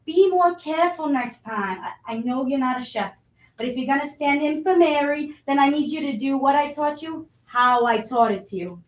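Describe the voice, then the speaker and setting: A woman's voice: sits high, moderate pace, lightly acted. A woman playing an abrasive, impatient, foul-mouthed restaurant boss in a staged reading, brash, blunt and badgering. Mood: bossy, grumbling. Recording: roomy echo.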